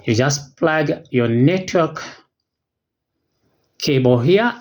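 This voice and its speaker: An unboxing host giving a casual product walkthrough in short, simple, clipped phrases, at a medium pitch. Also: lively intonation, slow pace, darkish tone. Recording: noisy, with clicks and crinkling packaging.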